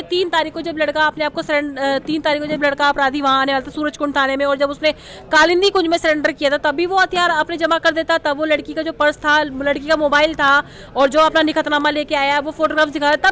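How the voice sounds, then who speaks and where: A woman's voice: sits high, steady tone, fast, fairly bright tone. A woman speaks emphatically and insistently in an impassioned interview. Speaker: protester interviewed.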